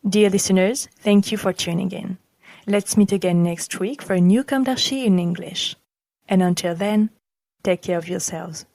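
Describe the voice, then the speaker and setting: A woman narrates from a script as a podcast presenter. Her pitch sits in the middle range and her delivery is formal and measured. The sound is dry and close, with very little room. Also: vocal fry, darkish tone, no echo. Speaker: podcast presenter reading a text.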